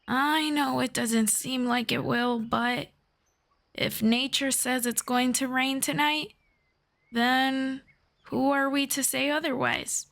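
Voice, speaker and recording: A woman voicing a gentle, teasing young elf friend in an unhurried fantasy roleplay audio drama in ASMR style. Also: high-pitched, steady tone, vocal fry, exaggerated voice. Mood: calm, thoughtful.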